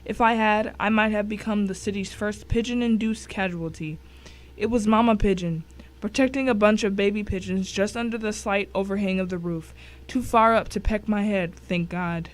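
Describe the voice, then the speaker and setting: A woman's voice: mid-range voice, moderate pace, rough recording. Woman narrating a suspense story. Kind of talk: dramatic first-person audiobook narration.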